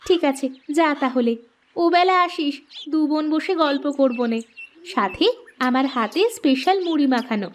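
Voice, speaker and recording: A very high, thin-pitched village wife played by a woman in a cartoon. She chides and nags her husband expressively, yet she clearly cares. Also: moderate pace, a little hiss or hum, close to an everyday voice. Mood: busy, friendly. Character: nagging but caring village housewife. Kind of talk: cartoon voice acting.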